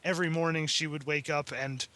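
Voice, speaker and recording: A man chatting casually on a podcast, retelling things in a hesitant, halting way. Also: a little high, fairly even intonation, sharp tone. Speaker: podcast panelist. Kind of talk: casual chat, retelling a story.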